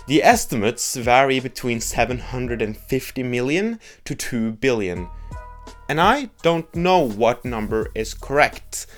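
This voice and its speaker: A young man with a Norwegian accent, a native Norwegian speaker, giving a blunt, joking opinion monologue as a video host. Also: a little high. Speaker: YouTube host.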